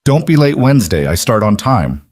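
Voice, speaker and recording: A man's voice: mid-range voice, sing-song, normal speed, darkish tone, dry recording, clean studio sound. A man voicing a blunt, focused businesswoman in her thirties, speaking in a clipped, matter-of-fact way for a narrated story. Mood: brisk, confident.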